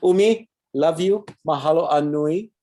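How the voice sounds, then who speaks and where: A man's voice: fairly high pitch, unhurried, mellow. A man with a Hawaiian Pidgin accent hosting a talk show, chatting loosely and reading viewer comments aloud with Hawaiian words mixed in. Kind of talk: casual live-stream sign-off chat.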